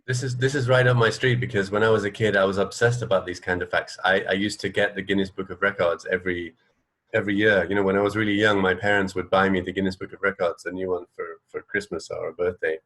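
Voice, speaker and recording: Low-voiced man co-hosting a radio show. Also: fairly even intonation, quick pace, fairly bright tone, rough recording. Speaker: radio co-host. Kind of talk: casual chat between music.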